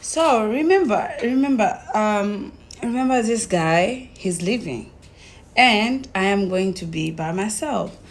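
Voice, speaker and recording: A young woman with a Tanzanian accent tells her own story casually, in an animated way, acting out conversations as she goes. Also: medium pitch, slow. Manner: animated retelling, acts out quoted conversations.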